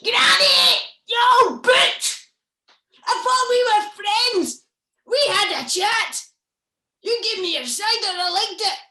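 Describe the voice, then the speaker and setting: A woman voicing a petulant male monster who shouts and whines in a high, squealing voice. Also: lively intonation, slow, reverberant, exaggerated voice. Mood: furious shouting.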